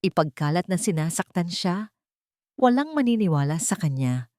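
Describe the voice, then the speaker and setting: A woman's voice: fairly low pitch, big pitch swings, normal speed, a little mellow, dry recording. A female narrator plays a domineering melodrama husband, suspicious and agitated. He sounds insistent and rattled, in a dry recording. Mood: alarmed anger.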